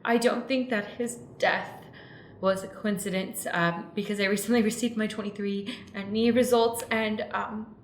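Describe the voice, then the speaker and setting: Radio play monk, female, woman actor: grave, hesitant, sincere, mid-pitched, in a reverberant room. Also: steady tone, lightly acted. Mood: solemn, hesitant. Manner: hesitant, earnest speechmaking with halting pauses. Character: solemn, earnest female monk on a spiritual journey. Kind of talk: improvised radio play acting. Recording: roomy echo with faint noise.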